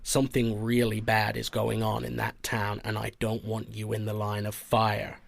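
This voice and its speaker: A man's voice: on the low side, completely flat delivery, moderate pace, gravelly, no echo. A man playing a protective, earnest investigations boss in an audio drama, speaking conversationally. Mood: earnest, concerned. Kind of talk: audio fiction acting.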